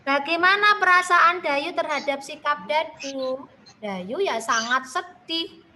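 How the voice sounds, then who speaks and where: A woman's voice: high voice, animated, pushed and bright, slight background noise. A woman teacher with a Javanese accent, patiently explaining a lesson to young children over a video call. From Central Java, Indonesia. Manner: slow, patient, addresses children with tag questions. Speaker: primary school teacher. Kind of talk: online class lesson.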